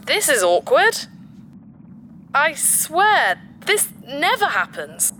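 A woman's voice: high voice, sing-song, takes it slowly, bright, forceful tone, a little hiss or hum, full character voice. A woman playing a childlike, playful and mischievous spaceship computer in a sci-fi audio drama. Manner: speaks of itself in the third person.

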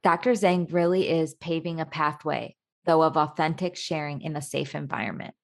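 A woman's voice: sits low, moderate pace, creaky. A woman narrating a scripted interview feature as its host.

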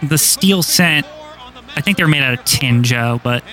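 Male co-host, fairly high voice, rambling casual chat. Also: lively intonation, measured pace, sharp tone, some chatter behind. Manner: rambling, heavy use of "like". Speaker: podcast co-host. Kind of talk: casual chat while watching wrestling.

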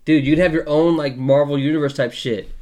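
A man's voice: a little high, steady tone, a little fast, a little mellow. A man co-hosting a podcast, chatting casually in slangy, profane language and telling personal anecdotes. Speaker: podcast co-host. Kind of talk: casual group chat.

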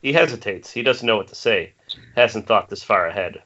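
A man's voice: lowish voice, moderate pace. A man reading stage directions as scripted narration at an amateur table read over an internet call. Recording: dull lo-fi Skype call, faint noise.

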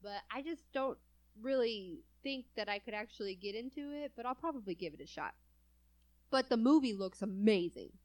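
A woman's voice: fairly high pitch, animated, normal speed, darkish tone. A young adult woman from California chatting casually as a co-host, laughing often between phrases. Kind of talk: casual chat.

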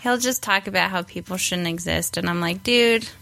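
A woman's voice: fairly low pitch, normal speed, gravelly. A woman guest on a podcast, chatting casually and acting out the voices in her stories. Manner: animated storyteller, acts out quotes. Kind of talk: casual chat.

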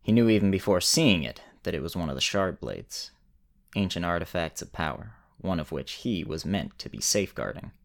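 A man narrating a scripted story in a measured way with dramatic pauses. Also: deep voice, very animated, vocal fry.

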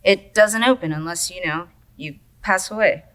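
A woman's voice: on the low side, moderate pace, fairly bright tone, natural voice. A woman playing a laid-back but needy coma patient who greets newcomers, flippant and slangy, in an audio drama. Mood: resigned. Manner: flippant, slangy, easily offended.

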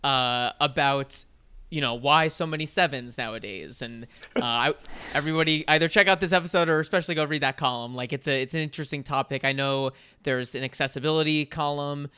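A male podcast co-host with a bright, forceful and very smooth, crisp voice. He chats with enthusiasm and pads his sentences with fillers as he asks the guest questions. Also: medium pitch, brisk. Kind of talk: casual podcast interview.

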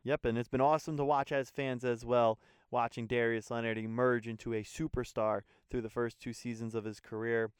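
Fast smooth-talking young man host. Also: mid-range voice, flat delivery, no echo, studio quality. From New Jersey. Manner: smooth, reads prepared questions. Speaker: podcast host. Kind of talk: scripted interview questions.

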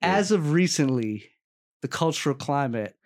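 A man's voice: a little high, expressive, moderate pace. A man hosting a casual podcast chat, feeling his way through questions and rewording them as he goes. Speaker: podcast host.

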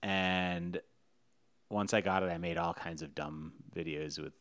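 A man with a very deep voice chats casually, quizzing his co-host in a playful, rambling way. He tells stories loosely, with easy asides. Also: steady tone, unhurried, a bit bright, no echo. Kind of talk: casual chat.

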